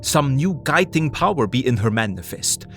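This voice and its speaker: An actor playing an elderly, earnest, grave foreign professor who narrates his diary in deliberate broken English for an audio drama. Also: medium pitch, very animated, a bit bright, faint music behind. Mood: earnest, wondering.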